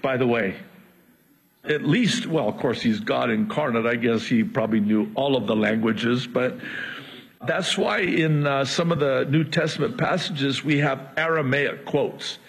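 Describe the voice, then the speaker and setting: A man whose first language is Arabic, teaching a verse-by-verse sermon in an animated way with quips between verses. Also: medium pitch, gravelly, husky, big-room sound, low-quality recording. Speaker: Bible teacher.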